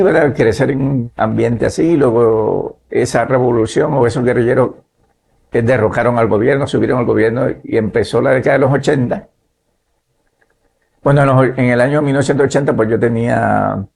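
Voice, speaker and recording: Gravelly-voiced pastor from Nicaragua. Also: male voice, medium pitch, darkish tone. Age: 50s. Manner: measured, adds "¿verdad?" tags. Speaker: pastor and missionary guest. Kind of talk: relaxed testimony in conversation.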